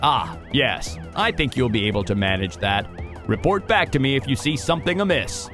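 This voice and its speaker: Man as an animated, commanding cartoon sheriff. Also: mid-range voice, moderate pace, over music, lightly acted. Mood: stern. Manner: commanding, moralizing. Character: authoritative male sheriff vehicle, stern but protective. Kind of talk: children's cartoon voice acting.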